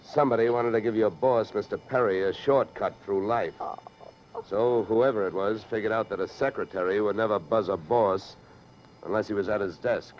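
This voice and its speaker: Casting: male actor as tough, wry private investigator, low-pitched and matter-of-fact, vintage radio drama with muffled sound. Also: moderate pace, a little hiss or hum, close to an everyday voice. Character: hard-boiled male freelance insurance investigator, wry, brisk, unflappable. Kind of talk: 1940s radio detective acting and first-person narration. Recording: dull vintage radio recording with music.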